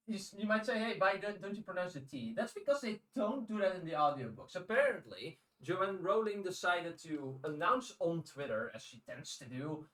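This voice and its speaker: A man's voice: high-pitched, a bit bright, roomy sound, poor audio. A man co-hosting a podcast in casual conversation, often repeating words as he starts his sentences. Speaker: podcast co-host. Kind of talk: casual discussion of audiobooks.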